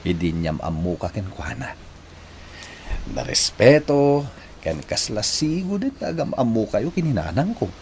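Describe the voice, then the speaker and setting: An earnest young man in love, speaks with an Ilocano accent and breathy emotion. His pitch swings widely in a radio drama style, over clear background noise. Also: big pitch swings, a little slow, a little mellow, heavily acted. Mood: tender, earnest.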